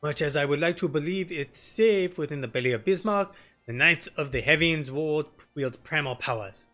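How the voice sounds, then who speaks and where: A man's voice: a little high, normal speed, bright, forceful tone, faint music behind. A man voicing an earnest, courteous young elf nobleman with polite, formal speech in a fantasy game. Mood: earnest, thoughtful.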